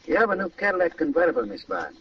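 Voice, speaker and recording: An actor playing an oily, smoothly menacing nightclub boss and blackmailer in an old-time radio crime drama, with a gravelly, husky voice. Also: male voice, a little high, very animated, rapid-fire. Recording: muffled distant old radio recording.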